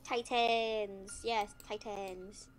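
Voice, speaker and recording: A woman's voice: medium pitch, steady tone, very slow. A woman chatting playfully on a group live stream, tossing in questions and reading out viewer comments. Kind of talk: casual live stream chat. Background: background music.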